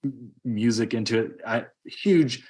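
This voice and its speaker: A man's voice: mid-range voice, unhurried, a little mellow. Middle-aged guy rambling through his opinions, casual podcast review chat. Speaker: podcast host.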